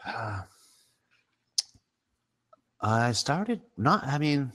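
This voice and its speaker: Bright-toned chatty male host. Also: mid-range voice, big pitch swings, drawn-out words. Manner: chatty, laughs often, stammers at times. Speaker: podcast host. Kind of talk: casual livestream chat.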